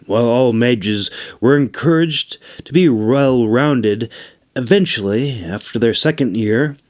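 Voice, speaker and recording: Slow-paced man narrating a fantasy audiobook. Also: medium pitch. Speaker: author reading own novel.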